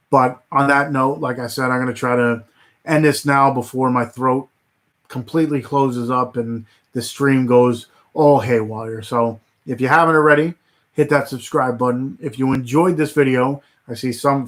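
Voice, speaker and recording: A man hosting a casual livestream, rambling with many fillers and restarts, his voice starting to go hoarse. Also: mid-range voice, a bit bright. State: voice getting hoarse. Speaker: livestream host.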